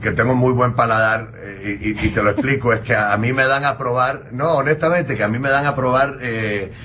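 A man's voice: mid-range voice, a little fast, bright, forceful tone, slight background noise. A man with a Puerto Rican accent, a guest chatting casually and rambling, with many fillers and restarts. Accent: Puerto Rican Spanish. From Puerto Rico. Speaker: interview guest. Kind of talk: casual chat.

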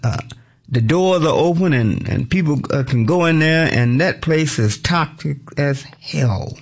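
A man hosting a talk radio show in a studio, with a squeaky voice and an exuberant, catchphrase-heavy manner. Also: expressive, a little slow. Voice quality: squeaky, high voice. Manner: exuberant, repeats catchphrases, shouts cues at the board.

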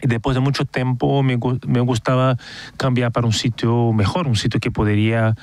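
A man's voice: medium pitch, flat delivery, normal speed, a little mellow, no echo. A middle-aged man from Brazil with a Brazilian Portuguese accent, speaking as a radio guest in a casual interview, often repeating words while he searches for the next one.

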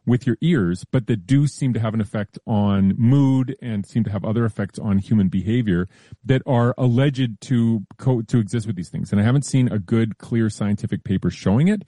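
A man explaining science news in a relaxed, careful way during a casual chat, with frequent hedges and restarts. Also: fairly low pitch, moderate pace, no echo, clean studio sound. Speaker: science columnist.

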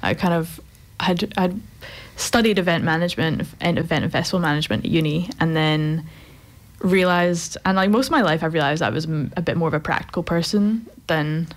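A woman's voice: low voice, brisk. A young woman from Scotland with a faint Scottish accent, a puppet maker chatting casually as a guest in a radio studio interview. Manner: chatty, rambling, laughs easily.

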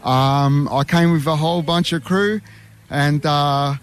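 A man's voice: fairly high pitch, fairly even intonation, a little slow. A man, a talkative interview guest who rambles with lots of fillers at an outdoor community event. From Armenian heritage. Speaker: interview guest, youth worker. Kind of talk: casual chat.

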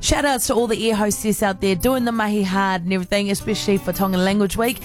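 A woman radio host, chatting casually and enthusiastically as she gives out shout-outs. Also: medium pitch, steady tone, fast talker, music in the background.

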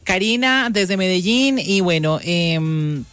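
A woman's voice: lowish voice, expressive, slow. A woman, a radio intern, speaking effusively as a guest. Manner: warm, effusive.

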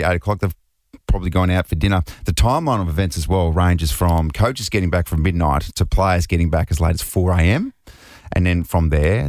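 A man's voice: low voice, darkish tone, no echo, studio quality. An Australian man from New South Wales co-hosting a radio show, chatting casually with frequent fillers and restarts. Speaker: radio co-host.

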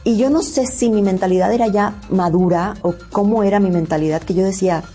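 A woman from Cuba speaking as an actress in an interview, earnest and halting, often repeating words. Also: medium pitch, mellow, breathy edge, soft background music.

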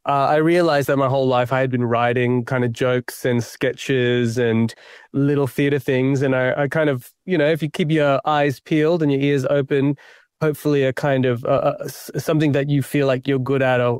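A young Australian man talks about his writing in a smooth, resonant voice. He is reflective and self-deprecating, thinking aloud with many fillers. The sound is close and dry. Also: mid-range voice, fairly even intonation, moderate pace, no room sound. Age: young adult. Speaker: playwright guest. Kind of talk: interview.

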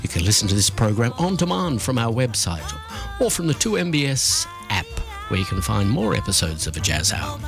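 Lively man hosting radio. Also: lowish voice, fairly quick, creaky, music in the background. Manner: lively, prompting questions. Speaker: radio jazz show host. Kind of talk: radio interview and sign-off. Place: radio studio.

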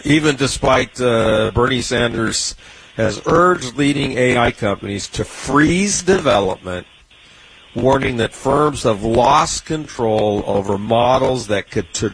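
A man with a San Diego accent talks through the markets in a loose, rambling way, dropping fillers and small chuckles as he goes. His voice has a gravelly, fry-laden edge at a middle pitch. A faint hiss of noise sits under the recording. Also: rough recording. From Southern California. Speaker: radio finance show host. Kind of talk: casual market commentary.

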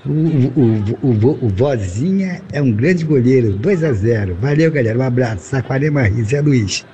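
A Brazilian man sending a listener voice message, speaking slowly with halting repeats. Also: mid-range voice, animated, warm tone, highs cut off, slight background noise. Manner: slow, halting. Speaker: listener caller.

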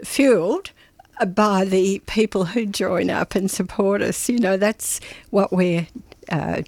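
A woman radio presenter talks in a relaxed, rambling way, with plenty of little hesitations and laughs. Her voice is slightly croaky and gravelly with a bit of fry. The studio sound is dry and close. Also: mid-range voice, takes it slowly, no echo. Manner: chatty, laughing, hesitant with many fillers. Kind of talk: casual on-air chat. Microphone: studio microphone.

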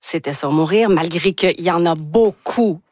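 A woman's voice: lowish voice, moderate pace, a little mellow, dry recording, studio quality. A woman with a Quebec French accent interviewing a writer in an effusive, emphatic way. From Quebec, Canada. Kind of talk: literary interview conversation.

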